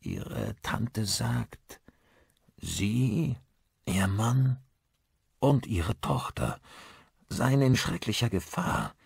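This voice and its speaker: A man voicing an aging, eccentric rocker turned spirit medium in an audiobook, speaking in a halting, urgent, intense way. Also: lowish voice, huge pitch swings, vocal fry, slightly rough, dry recording, pitched above the performer's own voice, heavily acted. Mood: grave warning.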